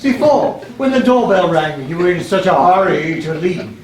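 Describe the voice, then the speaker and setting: A man's voice: high voice, expressive, normal speed, a crowd in the background. A man playing a brooding, suspicious sea god in a live stage comedy, speaking in terse, formal, pompous phrases. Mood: curt, impatient. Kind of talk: live one-act stage comedy acting. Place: stage of a small playhouse. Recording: echoey live theatre with audience noise.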